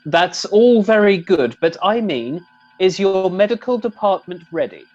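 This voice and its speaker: A man's voice: sits high, lively intonation, measured pace, darkish tone, muffled recording, faint music behind. A man playing a polite, mild-mannered middle-aged sergeant in an amateur comedy radio play, courteous and hesitant. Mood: courteous, dithering.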